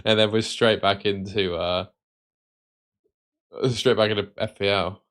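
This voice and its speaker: A man hosting a live stream with casual, matey chat that can turn excitable and sing-song. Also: lowish voice, unhurried. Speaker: livestream host.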